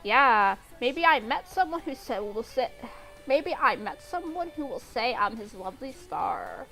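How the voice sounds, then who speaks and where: A woman's voice: on the high side, big pitch swings, unhurried, sharp tone, soft background music, full character voice, poor audio. A woman voicing a loyal, protective schoolgirl with magic powers in a story reading. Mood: friendly. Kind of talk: amateur story character reading.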